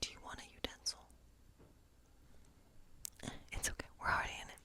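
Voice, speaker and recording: A woman playing a hospitable, eccentric woodland witch in an ASMR role play, speaking in a breathy whisper with a slow, coaxing, reassuring, rambling manner. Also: bright, forceful tone. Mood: encouraging, chatty. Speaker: ASMR performer.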